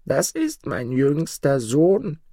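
Man as a slow, high-voiced ancient forest witch. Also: wildly swooping pitch, dark tone, no room sound, studio quality, full character voice. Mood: ominous. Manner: slow, ominous. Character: sinister ancient forest witch mother, cold and vengeful.

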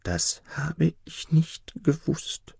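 A man voicing a dying old emperor, frightened and pleading, with high, strained, desperate cries. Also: animated, unhurried, gravelly, warm tone, breathy edge, no room sound, exaggerated voice. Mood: frightened whisper.

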